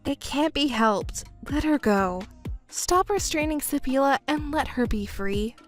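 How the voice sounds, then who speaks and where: Woman acting cool, composed young stepdaughter, lively intonation. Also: high voice, faint music behind. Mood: firm. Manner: measured, firm, reproachful. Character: cool, composed adult stepdaughter confronting her mother. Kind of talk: melodramatic scripted story dialogue.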